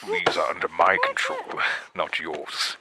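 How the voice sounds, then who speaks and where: A man playing a menacing, taunting villain in a horror audio drama, with drawn-out theatrical delivery. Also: sits low, wildly swooping pitch, very noisy, heavily acted. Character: menacing male villain who controls puppets, sinisterly patient.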